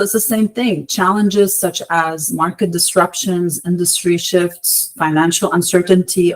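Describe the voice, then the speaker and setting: Female podcast guest from Lebanon: measured, articulate, motivational, emphatic phrasing. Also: lowish voice, rough recording. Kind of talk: prepared motivational interview answers.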